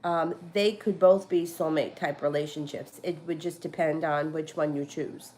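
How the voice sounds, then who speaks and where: A woman's voice: low voice, steady tone, normal speed, darkish tone, a little hiss or hum. A woman with a stuffy, congested voice giving casual tarot readings, talking in a rambling, chatty way full of fillers and restarts. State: stuffy from a cold. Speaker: tarot reader. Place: home.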